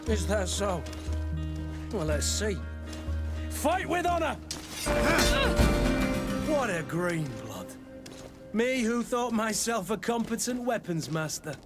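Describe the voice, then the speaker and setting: An actor playing a gruff, loyal mercenary weapons master in a video game, wry and bantering. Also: male voice, sits high, very animated, takes it slowly, pauses often, loud music behind the voice, heavily acted. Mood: boisterous.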